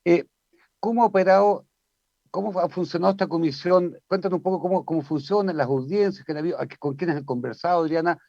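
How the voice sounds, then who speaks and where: A man's voice: high voice, fast talker, darkish tone, no room sound. A man hosting a radio interview, asking questions animatedly and tripping over his words now and then. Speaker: radio host. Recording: dry, slightly dull sound.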